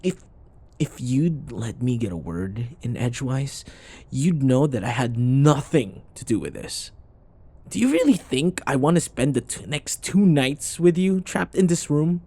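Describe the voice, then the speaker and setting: A man's voice: mid-range voice, very animated, a little slow, warm tone, slightly rough, full character voice. A man playing a sarcastic, irritable office rival who taunts and scoffs, in a scripted boyfriend audio roleplay. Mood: exasperated. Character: snarky, irritable male office rival, teasing and combative.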